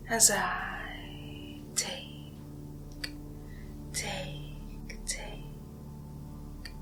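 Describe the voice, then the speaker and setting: Very slow whispering vampire seductress, played by a woman. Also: mid-range voice. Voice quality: breathy, mostly whispered. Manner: slow, hypnotic, lingering pauses and repetition. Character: condescending seductive female vampire feeding on human prey. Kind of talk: ASMR hypnosis villain role play.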